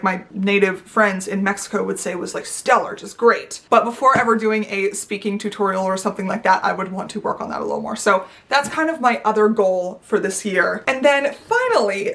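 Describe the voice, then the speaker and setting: A woman's voice: mid-range voice, a bit bright. Chatty young woman vlogging. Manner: chatty and rambly, playful asides. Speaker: YouTuber. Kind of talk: unscripted casual chat to camera.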